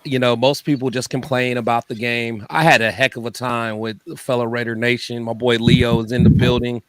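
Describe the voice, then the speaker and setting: A man co-hosting a podcast, hoarse from yelling, chatting in a laid-back, agreeable way. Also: mid-range voice, flat delivery, brisk, dry recording. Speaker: podcast co-host. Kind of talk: casual chat.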